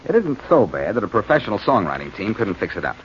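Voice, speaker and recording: A man's voice: lowish voice, big pitch swings, quick pace, slight background noise. A man playing a wisecracking reformed-crook detective, in 1940s radio acting with dry banter. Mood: wry. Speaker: lead actor. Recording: dull 1940s radio broadcast.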